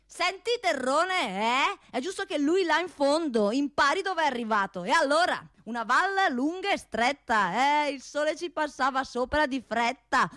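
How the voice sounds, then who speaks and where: An excitable, grumbling storyteller, acted by a woman for comic theatre, pitched high. The delivery is rambling, exclamatory and singsong, in a dry recording. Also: moderate pace, hardly any pauses, dry recording. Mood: storytelling. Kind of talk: comic theatre character acting.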